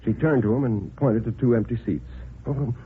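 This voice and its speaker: A man's voice: mid-range voice, fairly quick, a little hiss or hum. An American man narrating a scripted horror story in the style of 1950s radio drama. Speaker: narrator of a radio horror play, performing every part. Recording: vintage radio recording, phone-like band, music behind.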